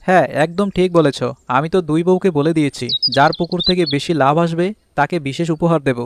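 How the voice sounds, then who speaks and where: A man's voice: on the high side, quick pace, background noise, natural voice. A man playing an encouraging, peacemaking village husband who farms fish in a Bangla cartoon. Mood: practical, upbeat.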